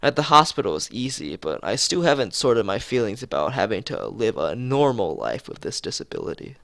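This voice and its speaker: A man's voice: on the low side, big pitch swings, a little fast, gravelly, fairly bright tone, no echo, clean studio sound. A man streaming a visual novel, reading the story aloud expressively with occasional asides.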